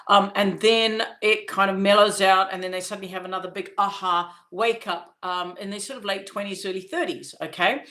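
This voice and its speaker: A woman's voice: medium pitch, fairly even intonation, a little fast, few pauses, sharp tone. A woman in her fifties from Zimbabwe, hosting a podcast in a casual, rambling monologue full of fillers and restarts. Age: 50s. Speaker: podcast host.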